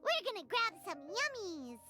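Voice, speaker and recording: A woman's voice: very high voice, big pitch swings, normal speed, over loud music. A woman voicing a tiny, childlike, bubbly female companion in a cartoonish video game style. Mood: chirpy, eager. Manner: refers to self in third person, bubbly.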